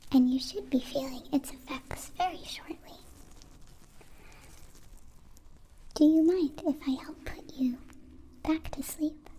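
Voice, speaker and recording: A woman playing a gentle, naive alien friend in an ASMR roleplay, whispering breathily with careful, stilted formal phrasing. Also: high-pitched, slight background noise. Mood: soothing.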